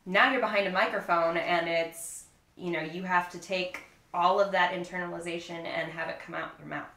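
A woman's voice: on the low side, steady tone, normal speed, bright, forceful tone. A woman actor chatting casually in a roundtable discussion. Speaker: actor on a panel. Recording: roomy, echoey room.

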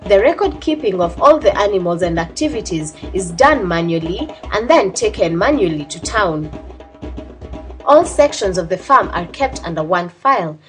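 A woman's voice: medium pitch, animated, moderate pace. A woman reading scripted narration for a documentary. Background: music.

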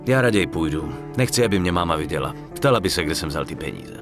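An actor playing a young, cool-headed gangster in a dubbed game, terse and matter-of-fact. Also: male voice, low-pitched, sing-song, over music. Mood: low, reassuring. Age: young adult. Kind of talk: dubbed video game drama.

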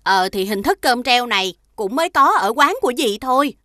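A woman's voice: on the high side, expressive, fairly quick, pushed and bright, no echo, clean studio sound. A woman voicing a kindly middle-aged street food vendor in a children's cartoon, obliging and patient. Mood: friendly.

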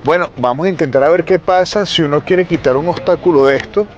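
A man's voice: a little high, fast, muffled recording, soft background music. A man in his forties with a Venezuelan Spanish accent, reporting from inside his car on a city street, questioning people with pointed, indignant questions and emphatic repeats.

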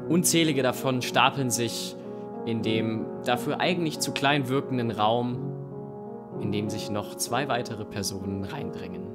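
A man's voice: mid-range voice, measured pace. Male storyteller over plucked guitar music. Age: young adult. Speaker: narrator of an improvised audio play. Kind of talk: live improvised storytelling narration. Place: small indoor theatre stage. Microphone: microphone on a table stand. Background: acoustic guitar music.

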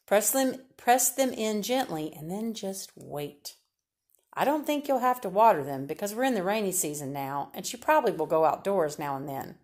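A woman's voice: mid-range voice, animated, normal speed, darkish tone, lightly acted. A woman reader voicing a kindly, unflappable older woman who gives cheerful, matter-of-fact advice about children.

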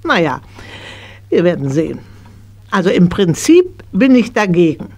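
Middle-aged mother, strict but fond, expressive intonation, children's radio play. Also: fairly low pitch, moderate pace. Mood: grudging consent. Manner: reluctant, admonishing.

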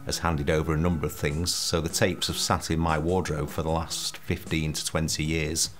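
Very deep, flat-toned man. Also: moderate pace, soft background music. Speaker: interviewed archive owner. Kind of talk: factual interview account.

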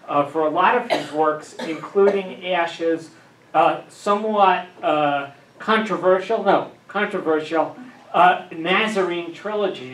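Older male lecturer, higher voice, halting delivery with many fillers, heard in an echoey hall from a distant camera. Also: fairly bright tone. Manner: many filler uhs, dry asides. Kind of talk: academic lecture. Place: dim lecture room with projector. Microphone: handheld mic. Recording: echoey room, camera far off.

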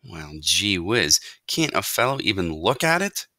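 A woman's voice: rumbling low, sing-song, measured pace, pushed and bright, dry recording, studio quality, heavily acted. A woman voicing a plucky, boastful young boy mouse in a children's storybook, eager and defiant. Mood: indignant, earnest.

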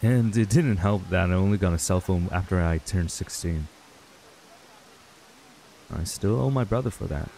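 A man's voice: sits low, moderate pace, creaky, long pauses, mellow. A man playing a laid-back, wistful young man in an ASMR roleplay, talking casually and hesitantly to a childhood friend. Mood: earnest. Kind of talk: ASMR roleplay acting, intimate and conversational.

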